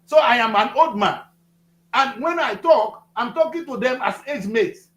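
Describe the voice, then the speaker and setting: A man's voice: extremely high pitch, lively intonation, moderate pace, fairly bright tone, reverberant. A Nigerian man in his fifties, speaking as a studio guest with a preachy, emphatic manner, repeating phrases for effect. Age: 50s. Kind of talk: passionate political talk.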